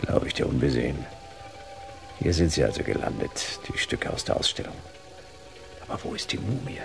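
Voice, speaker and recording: An actor playing a laconic, sardonic private detective who narrates a German sci-fi radio play in clipped phrases with a gravelly voice. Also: male voice, rumbling low, big pitch swings, normal speed, music in the background, full character voice. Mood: dry, quiet. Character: hard-boiled sci-fi private detective narrating, dry, wry. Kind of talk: noir first-person radio play narration.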